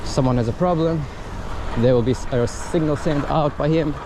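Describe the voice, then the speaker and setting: A man's voice: fairly high pitch, normal speed. A man giving a casual, unscripted product review in an informal, thinking-aloud way, recorded outdoors on a city street. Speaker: gadget reviewer and vlogger. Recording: noisy outdoor street with traffic ambience. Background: urban traffic and street noise.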